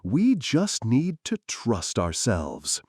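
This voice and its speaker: A man's voice: medium pitch, wildly swooping pitch, slow pace, a little mellow, husky, no echo, studio quality, full character voice. A man voicing a small, wise, determined crow hero in a children's fable, earnest and proclaiming. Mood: solemn, encouraging.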